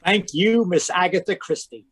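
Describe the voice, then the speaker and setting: A man's voice: high-pitched, moderate pace, a bit bright, breathy edge. A man announcing an old-time radio mystery show in a grand, declaiming style over a video call. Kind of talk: 1940s radio acting.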